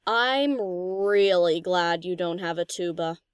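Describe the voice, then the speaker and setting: A woman's voice: fairly low pitch, slow, clear, resonant tone, dry recording, clean studio sound. A woman voicing a cheeky, laid-back DJ pony in an audio story, with a casual, teasing, slangy delivery. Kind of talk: scripted audio story character acting.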